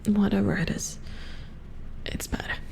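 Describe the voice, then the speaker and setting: A woman playing a gentle, squeamish robot repair engineer in a hushed, hesitant ASMR roleplay, murmuring to herself. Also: medium pitch, fairly even intonation, measured pace, gravelly. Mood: puzzled, squeamish. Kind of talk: soft-spoken whispered ASMR roleplay.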